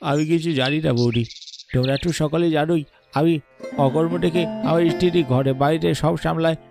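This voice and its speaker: A man's voice: fairly high pitch, fairly even intonation, moderate pace. A man voicing several frightened village men in a horror cartoon, stammering often. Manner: stammering, fearful. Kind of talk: cartoon voice acting. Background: music.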